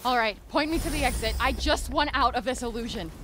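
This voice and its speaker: A woman playing a high-pitched, brash young game heroine. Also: fairly quick, a bit bright, soft background music, natural voice. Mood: determined. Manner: sarcastic, blunt, swearing. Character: brash, foul-mouthed, defiant young heroine from New York. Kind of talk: video game voice acting. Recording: game sound effects behind voice.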